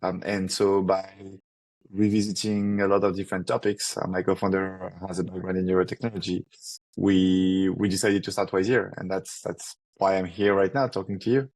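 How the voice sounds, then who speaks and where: A man's voice: deep voice, level tone, darkish tone, no echo, poor audio. A man in his thirties from France with a thick French accent, a startup founder answering interview questions casually, with many fillers and restarts. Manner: fast, filler-heavy, restarts often.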